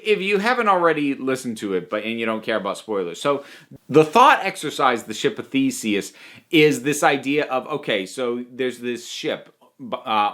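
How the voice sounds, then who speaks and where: A man giving a casual spoken review as a video host, chatty and emphatic in an animated way. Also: medium pitch.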